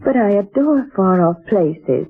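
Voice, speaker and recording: An actress playing a fond, dreamy wife in 1940s radio drama style. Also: female voice, mid-range voice, animated, measured pace, breathy edge. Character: Sonia, a fond, romantic wife. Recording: tinny lo-fi old radio broadcast with music.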